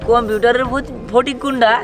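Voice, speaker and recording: Cartoon youngster, played by an actor: excitable, rustic, eager to get even, mid pitch, music behind. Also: fairly quick, natural voice. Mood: puzzled. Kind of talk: cartoon voice acting.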